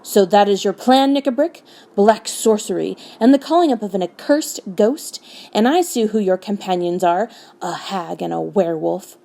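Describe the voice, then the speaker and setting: A woman's voice: mid-range voice, animated, a little fast, dark tone, lightly acted. A woman voicing a noble, hot-tempered young king in a storybook, declaiming with indignant force. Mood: outraged.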